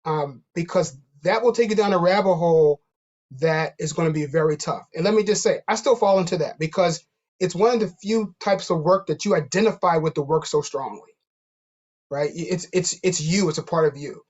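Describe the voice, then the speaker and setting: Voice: mid-range voice, normal speed, darkish tone. A panelist speaking reflectively, repeating words while searching for the point. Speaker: doctoral student panelist. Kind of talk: panel remarks. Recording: dull lo-fi audio.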